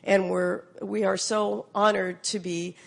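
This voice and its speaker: A woman in her forties with a hoarse voice from a cold, giving an earnest, upbeat seminar talk with frequent fillers. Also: medium pitch, level tone, slow pace. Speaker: seminar speaker. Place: meeting room.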